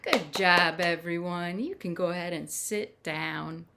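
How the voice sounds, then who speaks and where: A woman reading picture books aloud to young children in simple, measured phrases, with little asides to her listeners. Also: lowish voice, noisy background. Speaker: children's storytime reader. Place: at home.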